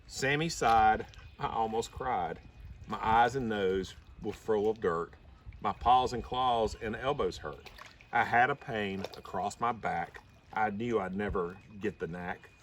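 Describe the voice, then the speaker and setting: A man reading a rhyming children's story aloud in an expressive storybook style. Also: fairly low pitch, a little slow. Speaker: storybook reader. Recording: slightly distant mic, faint background noise.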